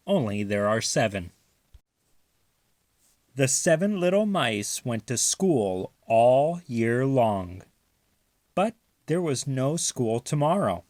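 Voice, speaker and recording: Slow, animated man reading a children's story. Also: medium pitch, no room sound, studio quality. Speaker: storybook reader. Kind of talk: children's read-aloud narration.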